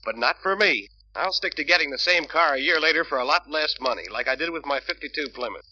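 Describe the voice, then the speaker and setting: A man's voice: on the high side, lively intonation, fast talker, sharp tone. An American man playing a plain-spoken, thrifty used-car buyer in an old training film, conversational and opinionated. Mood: easygoing, assured. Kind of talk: acted dialogue in a training film. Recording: dull vintage film track, faint noise.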